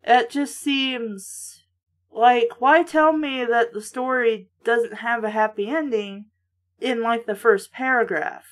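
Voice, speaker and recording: Blunt, higher-voiced woman reading and commenting. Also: fairly even intonation, slow pace. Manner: blunt, sardonic, casually profane. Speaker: book reviewer and host. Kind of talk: casual read-aloud with running critical commentary.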